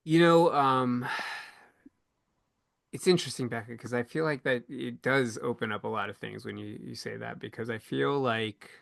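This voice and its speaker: A man's voice: medium pitch, fairly even intonation, moderate pace, creaky, no echo, clean studio sound. A man hosting a casual panel discussion, feeling his way through his phrasing as he talks. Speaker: podcast host moderating a panel.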